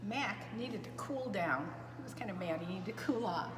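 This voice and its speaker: A woman's voice: medium pitch, expressive, moderate pace. A woman reading a story aloud to young children, expressively and with chatty asides to her listeners. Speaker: preschool storyteller. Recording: echoey reverberant room, clear background noise.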